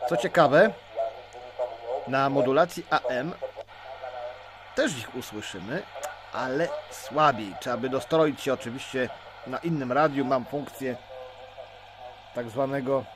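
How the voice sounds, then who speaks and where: A Polish man giving an informal, unscripted hands-on gadget demonstration as a hobbyist, explaining in a halting way. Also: mid-range voice, a crowd in the background. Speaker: CB radio hobbyist presenter.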